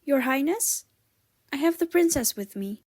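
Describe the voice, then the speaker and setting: A courteous female palace servant in a fantasy audio roleplay, acted by a woman. Her voice sits high, and she speaks with formal, deferential politeness. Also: lively intonation, a little slow, darkish tone. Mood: deferential, firm.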